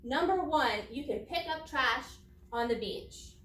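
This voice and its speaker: A woman's voice: high-pitched, animated, measured pace, fairly bright tone. A woman teacher reading a children's non-fiction book aloud, explaining it expressively in simple words for young listeners. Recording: roomy echo, distant microphone.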